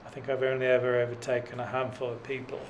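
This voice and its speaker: A man's voice: medium pitch, monotone, fast talker, slight background noise, low-quality recording. A middle-aged man talking in a dry, self-deprecating way with many fillers while cycling up a mountain road. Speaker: cycling vlogger. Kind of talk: rambling self-deprecating commentary while riding.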